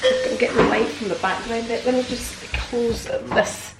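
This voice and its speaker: A woman's voice: on the high side, steady tone, normal speed, vocal fry, reverberant, heavy background noise. A woman in her sixties chatting cheerfully to camera in her home kitchen, vlogging about her day. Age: 60s. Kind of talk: casual chat to camera.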